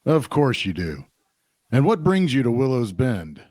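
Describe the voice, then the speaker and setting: An actor playing a burly pub keeper in an audio drama, halting and polite but unimpressed. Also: male voice, medium pitch, very animated, darkish tone, no echo, clean studio sound. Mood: awkward, nudging. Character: burly, no-nonsense pub keeper, a man, mildly impatient.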